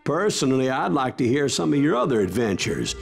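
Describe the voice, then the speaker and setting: An actor playing a guarded saloon keeper with an American accent, casually prodding a storyteller in a Western video game. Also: male voice, mid-range voice, very animated, brisk, vocal fry. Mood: guarded, probing. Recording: music over the voice.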